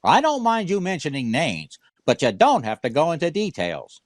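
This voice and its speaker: Actor as a dry, sardonic radio comedian, lively inflection. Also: male voice, on the high side, moderate pace, no room sound. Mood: dry, teasing. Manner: deadpan, sardonic. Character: Fred Allen, dry wisecracking male radio comedian. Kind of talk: 1940s radio comedy acting.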